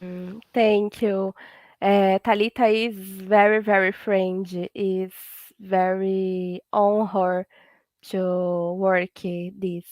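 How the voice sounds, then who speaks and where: Brazilian woman vet as guest, slow, flat, halting second-language english, dry sound. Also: mid-range voice, no room sound. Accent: Brazilian Portuguese. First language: Portuguese. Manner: halting, repetitive non-native English with many fillers. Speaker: veterinarian guest. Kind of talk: informal conversation.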